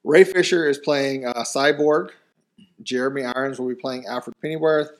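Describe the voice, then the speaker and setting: A man co-hosting a podcast, reading entertainment news in a steady, matter-of-fact way between casual chat. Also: mid-range voice, moderate pace. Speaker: podcast co-host.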